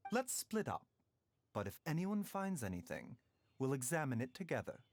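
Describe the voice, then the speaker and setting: Courteous, lilting, enigmatic doctor. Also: male voice, mid-range voice, very animated. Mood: composed. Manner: formal, measured, polite. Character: soft-spoken, courteous, slyly enigmatic male doctor. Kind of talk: anime video game voice acting.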